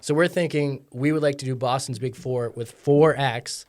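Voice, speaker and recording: A man's voice: medium pitch, steady tone, moderate pace, a little mellow. Rambling, filler-heavy male podcast guest. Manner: rambling and explanatory, full of "like" fillers. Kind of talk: casual chat.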